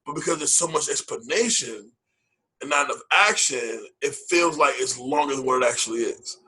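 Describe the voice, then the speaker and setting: A man's voice: mid-range voice, expressive, normal speed, sharp tone, breathy edge, poor audio. A man co-hosting a movie podcast in casual chat, loose and hype-style, repeating phrases and using slang. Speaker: podcast co-host.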